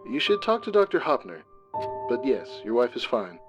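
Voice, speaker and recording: A man playing a polite, halting male hospital caller in a dramatic reading, heard through a telephone filter. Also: medium pitch, huge pitch swings. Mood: polite, hesitant. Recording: muffled phone-like sound, music underneath.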